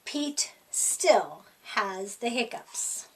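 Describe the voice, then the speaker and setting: A middle-aged woman reads a picture book aloud for young children. Her voice is high and swings widely in pitch. She takes her time, spacing the phrases slowly and deliberately. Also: a little hiss or hum, rough recording. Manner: animated, slow, deliberate storytelling for small children. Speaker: library storytime reader. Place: small room indoors.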